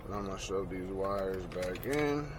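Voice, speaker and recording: A man's voice: deep voice, animated, normal speed. A man talking casually to himself as he does a hands-on install in a truck cab, muttering with slangy, sweary asides. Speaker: truck driver vlogger. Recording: noisy truck cab, vehicle noise.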